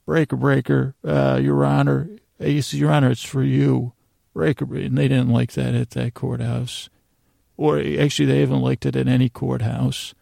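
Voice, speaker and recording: A man hosting a podcast in a rambling, lighthearted bedtime monologue, halting and drifting into absurd tangents, with a gravelly, creaky voice. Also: medium pitch, flat delivery, warm tone, dry recording. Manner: meandering, halting, with trailing tangents. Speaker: podcast host. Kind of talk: rambling bedtime monologue, meant to lull listeners to sleep.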